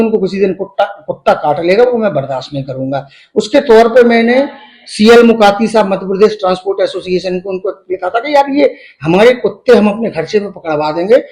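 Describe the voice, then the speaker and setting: A middle-aged man explains his grievance in an interview. He is halting yet emphatic, dropping English words into his sentences. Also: high-pitched, darkish tone. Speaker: medical professor giving an interview.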